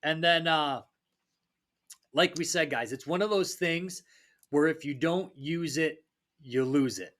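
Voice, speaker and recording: Male fitness coach, a bit raspy from a cold; higher-pitched, casual, motivational podcast delivery. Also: slow pace, long pauses, fairly bright tone. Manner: upbeat, pep-talk delivery. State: slightly raspy from a recent cold.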